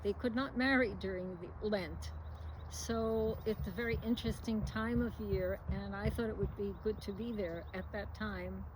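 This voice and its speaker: An elderly woman from New York City, around ninety, speaking reflectively in a recorded interview, with many fillers and pauses. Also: mid-range voice, fairly even intonation, background noise. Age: about 90. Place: at home.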